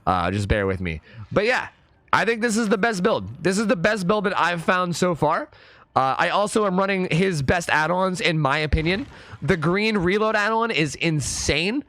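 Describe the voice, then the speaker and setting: A young man with a somewhat high voice, hoarse because he has lost part of it, talks in a chatty, filler-heavy run about a game. The recording is dry and close, with no room sound around him. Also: lively intonation, normal speed, bright, forceful tone, no echo. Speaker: gaming YouTuber and streamer. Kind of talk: casual gameplay commentary.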